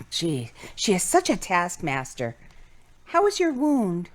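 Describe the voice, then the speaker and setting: A woman's voice: lowish voice, wildly swooping pitch, slow, heavily acted. A woman acting an older, playful, nagging and affectionate mother in an audio drama. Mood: fussy, playful.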